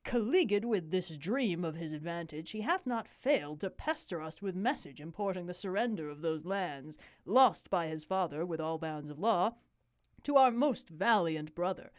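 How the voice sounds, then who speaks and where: A woman's voice: lowish voice, expressive, normal speed, darkish tone, no echo, clean studio sound, pitched below the performer's own voice, close to an everyday voice. A woman voicing a stately Danish king in a formal, declamatory Shakespeare read-aloud. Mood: pompous, businesslike. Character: stately Danish king addressing his court.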